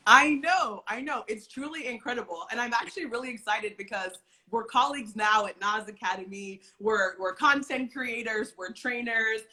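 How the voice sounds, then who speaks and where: Brief: upbeat woman host, chatty, very bright tone. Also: on the high side, moderate pace, hardly any pauses, poor audio. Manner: upbeat, chatty, many "like" fillers. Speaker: interview host. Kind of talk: casual chat.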